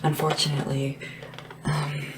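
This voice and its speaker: A woman playing a small, devoted, gentle assistant to a dragon monarch in a soft-spoken, often whispered ASMR roleplay, unhurried and deferential. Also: low voice, completely flat delivery, music in the background. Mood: soothing, chatty. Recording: clearly noisy lo-fi ASMR recording. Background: tapping and background hiss.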